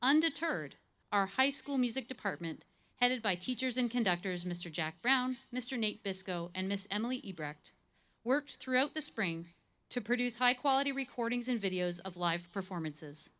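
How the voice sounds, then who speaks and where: A woman's voice: mid-range voice, expressive, moderate pace, fairly bright tone. A woman hosting a ceremony, reading a formal scripted announcement. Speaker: ceremony host.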